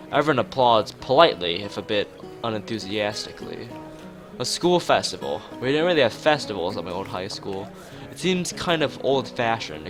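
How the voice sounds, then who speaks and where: A man with a fairly low voice reads a visual novel aloud for a stream. He stumbles over words and goes back over them, dropping in offhand remarks. Guitar music plays clearly behind him. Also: a bit bright. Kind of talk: live read-aloud of a visual novel with chat asides.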